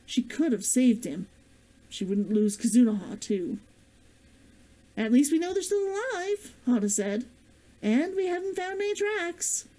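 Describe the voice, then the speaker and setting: A woman author and streamer reading her novel aloud and chatting with viewers in a gentle, expressive way. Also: medium pitch, low-quality recording. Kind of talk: expressive storybook read-aloud and chat.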